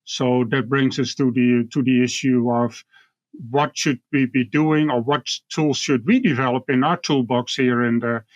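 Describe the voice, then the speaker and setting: A man with a Dutch accent explaining things as an expert guest in an interview, measured and halting, often repeating words. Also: mid-range voice, fairly even intonation, no echo, lo-fi sound. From Netherlands. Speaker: guest expert, university professor.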